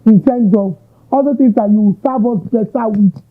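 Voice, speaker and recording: A man's voice: high voice, moderate pace, faint music behind. A Nigerian man hosting an interview, asking long, winding questions with Yoruba asides. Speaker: interviewer, host. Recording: tinny narrow-band audio.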